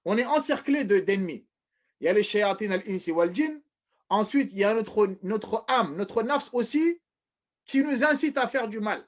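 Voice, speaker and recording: A man's voice: high voice, animated, moderate pace. A man giving a religious lecture, repeating phrases, often restarting, and addressing his listeners as brothers and sisters. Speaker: preacher. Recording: dull lo-fi recording.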